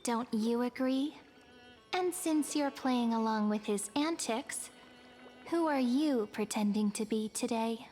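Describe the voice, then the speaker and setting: A woman playing a playful, affectionate young village girl in an anime-style video game, teasing and gently scolding. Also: on the high side, takes it slowly.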